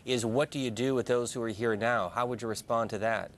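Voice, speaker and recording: A man hosting a live broadcast call-in programme, announcing and putting questions in a measured way. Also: medium pitch, fairly even intonation. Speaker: call-in show host.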